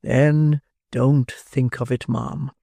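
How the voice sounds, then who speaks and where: A man voicing a pompous, self-important middle-aged beadle who speaks slowly and gravely. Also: mid-range voice, flat delivery, warm tone, dry recording, clean studio sound. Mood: pompous solicitous.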